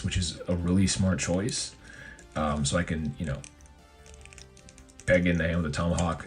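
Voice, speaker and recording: A man with a hoarse voice reviewing a collectible figure in a casual, rambling way, full of fillers and self-corrections. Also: rumbling low. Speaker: toy reviewer.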